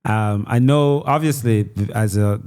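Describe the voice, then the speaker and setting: A man's voice: on the low side, a little slow, a little mellow, clean tone. A man from Nigeria hosting a podcast, chatting casually with his guest and nudging him along with frequent affirming murmurs. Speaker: podcast host. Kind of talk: casual interview chat.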